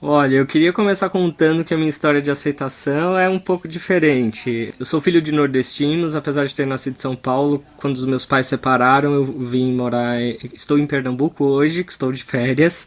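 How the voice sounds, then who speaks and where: A man guest in an interview, telling his personal story in an earnest, emphatic way. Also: fairly high pitch.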